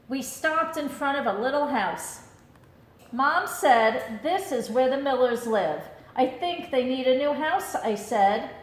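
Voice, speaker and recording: A woman reading a children's picture book aloud in an expressive storytelling style. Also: sits high, roomy sound, low-quality recording. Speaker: storybook reader.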